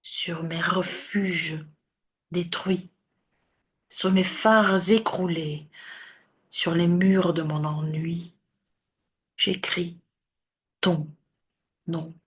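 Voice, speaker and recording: A woman solemnly reciting poetry in a measured, deliberate way with long pauses, her voice breathy and often falling to a near whisper. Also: lowish voice, fairly even intonation.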